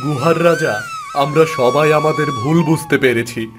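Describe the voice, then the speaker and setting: A man's voice: a little high, unhurried, over music, close to an everyday voice. A man playing a frightened married man trapped in a cave, imploring dramatically in a fairy tale cartoon. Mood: repentant.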